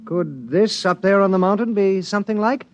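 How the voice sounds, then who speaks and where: An actor in a 1950s radio drama playing an idle, fussy gentleman who hates the outdoors and talks in a languid, sardonic way. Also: high voice, normal speed, natural voice.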